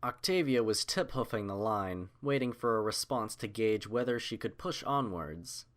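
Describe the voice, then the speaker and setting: A male audiobook narrator reads scripted fiction. His voice sits a little low, and he delivers descriptive story prose. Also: animated, normal speed.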